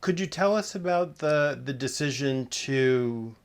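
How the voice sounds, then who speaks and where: A man's voice: mid-range voice, expressive, measured pace, clean tone. A man asking oral history questions as an interviewer, phrasing them carefully and tentatively.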